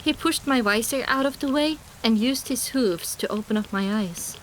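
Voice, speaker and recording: A woman's voice: on the high side, moderate pace, a little hiss or hum, rough recording. A woman reading dramatic first-person scripted narration for an audiobook.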